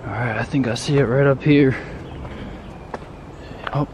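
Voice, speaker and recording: A young man in his twenties talking casually to the camera as a vlogger, relaxed and unsure, with lots of fillers, recorded on a handheld phone. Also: mid-range voice, measured pace, noisy background. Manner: relaxed, uncertain, many fillers. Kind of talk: casual vlog walkthrough. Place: wooded trail outdoors, then apartment living room.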